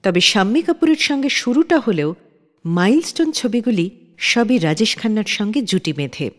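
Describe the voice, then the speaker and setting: A woman reading scripted narration as the host of a radio show. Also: on the high side. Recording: long reverb on the voice.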